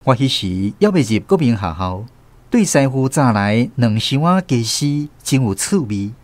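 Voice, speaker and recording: A man with a Taiwanese accent hosting and narrating a scripted radio programme in an expressive storytelling manner. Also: medium pitch, moderate pace, no echo.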